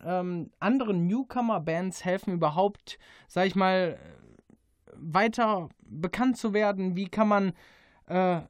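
A man asking interview questions casually, in short halting phrases. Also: sits high, no echo, clean studio sound.

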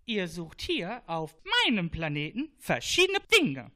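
A woman's voice: fairly low pitch, wildly swooping pitch, a little slow, noisy background. A woman voicing a bossy talking planet in a children's radio play, with a strange voice and a commanding, theatrical way of giving out tasks. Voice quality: strange, put-on voice.

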